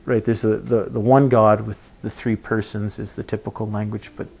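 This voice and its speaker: A man giving an informal expository sermon as a preacher, halting and thinking aloud, often checking in with a short tag question. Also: lowish voice, little pitch movement, vocal fry, a little mellow, slightly rough. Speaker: preacher teaching a Bible passage.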